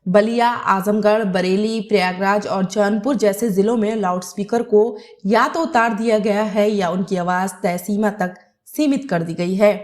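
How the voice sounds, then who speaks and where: A woman's voice: mid-range voice, darkish tone. A woman narrating a scripted news report as a news presenter.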